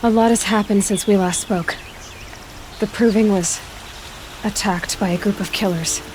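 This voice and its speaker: A woman's voice: medium pitch, steady tone, moderate pace, a little mellow, slight background noise, close to an everyday voice. A woman playing a blunt, resolute young outlander huntress in a game, speaking in a direct, serious, insistent way. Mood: grave, insistent.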